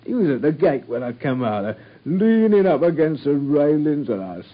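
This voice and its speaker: Cockney constable, plodding and hesitant, lively husky voice, lo-fi old radio play. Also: male voice, fairly high pitch, normal speed. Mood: chatty. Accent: London Cockney. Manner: rustic ungrammatical speech, hesitant and chuckling. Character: slow-witted working-class London police constable. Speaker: police constable. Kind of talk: 1950s BBC radio drama acting. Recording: dull lo-fi old radio broadcast.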